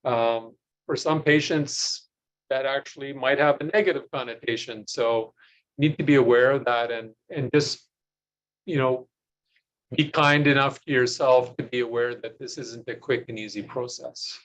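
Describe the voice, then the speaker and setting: A man, a physician, speaking reflectively in a measured, hesitant way with many pauses on a video call. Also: mid-range voice, steady tone, fairly bright tone, low-quality recording. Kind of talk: reflective remarks in online panel.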